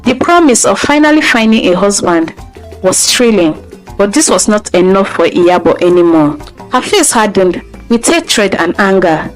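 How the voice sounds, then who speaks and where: A woman's voice: medium pitch, animated, normal speed. A woman storyteller reading a scripted folktale narration. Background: music.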